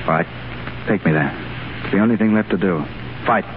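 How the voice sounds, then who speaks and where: A gallant British agent played by a man with a clipped, upper-class delivery at a middling pitch. He comes through a tinny 1930s broadcast with faint hiss. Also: fairly quick, close to an everyday voice. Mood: brisk.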